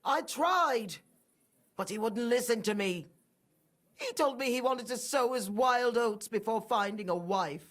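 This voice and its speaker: A fanatical, haughty pious mother, wildly expressive. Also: fairly high pitch, measured pace. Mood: righteous scorn. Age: middle-aged. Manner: sanctimonious, scornful, defiant. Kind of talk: dramatic video game acting.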